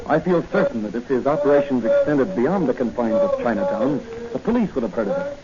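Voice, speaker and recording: An actor playing an earnest, determined young police hero in an emphatic 1940s radio drama style. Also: male voice, medium pitch, big pitch swings, a little fast, lightly acted. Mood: businesslike, curious. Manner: emphatic, declamatory, resolute. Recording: muffled, noisy 1940 radio recording.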